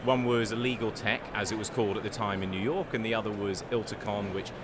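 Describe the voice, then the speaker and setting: A man's voice: lowish voice, steady tone, a little fast. A middle-aged man chatting casually as an interview guest. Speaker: interview guest, business founder. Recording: clear urban background noise.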